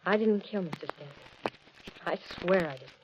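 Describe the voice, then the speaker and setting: A woman's voice: fairly low pitch, moderate pace, close to an everyday voice. An actress playing an anxious, earnest woman suspect in old-time radio drama style. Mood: defensive, pleading. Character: anxious, pleading woman suspect in a murder case. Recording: dull, noisy old radio recording.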